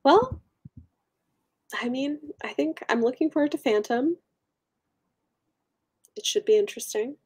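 A woman's voice: high-pitched, expressive, a little slow, pauses often, a little mellow, breathy edge. A young adult woman co-hosting a book livestream in a casual, musing way, often trailing off. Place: room at home, indoors.

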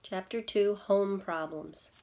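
A woman's voice: medium pitch, takes it slowly, a little mellow. Middle-aged woman reading a children's story aloud. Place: indoors at home, seated in an armchair in a small room.

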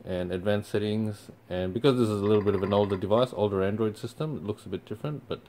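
A man's voice: fairly low pitch, fast talker, slight background noise. A young man, casually walking viewers through resetting a device, with plenty of ums along the way. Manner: relaxed, many fillers. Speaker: company product presenter. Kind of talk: casual how-to walkthrough. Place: indoors in front of a TV screen.

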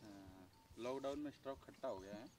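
A man's voice: mid-range voice, brisk, a little mellow, slight background noise. A man interviewing a farm worker outdoors at a horse farm, prompting him with questions and repeating answers back. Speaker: interviewer, channel host. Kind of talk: casual on-site interview.

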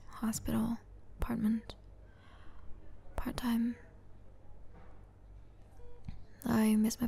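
A woman acts out a young female student in an intimate audio roleplay. Her voice is soft, mellow and gravelly at the edges, often near a whisper. She speaks slowly and hesitantly, overwhelmed and apologetic. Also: a little high, a little hiss or hum. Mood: weary, confiding. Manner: hesitant, halting. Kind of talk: ASMR roleplay acting, soft and halting.

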